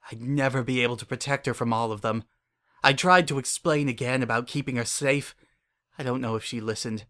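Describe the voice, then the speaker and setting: A man's voice: medium pitch, a bit bright, close to an everyday voice. A man plays an unhinged narrator telling his own horror story in the first person. He is obsessive and paranoid, with an earnest, oddly childlike way of putting things and a brooding undertone. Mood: paranoid, hushed. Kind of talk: first-person dramatic horror fan fiction reading.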